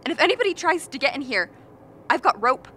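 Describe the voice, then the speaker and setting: Radio drama: actress as a cornered, suspicious, defiant woman, bright and forceful, highish pitch, faint noise behind. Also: big pitch swings, fast, exaggerated voice. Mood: frantic, furious. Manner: agitated, suspicious, shouting outbursts. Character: paranoid, defiant expedition member barricaded in a room. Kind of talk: radio play acting.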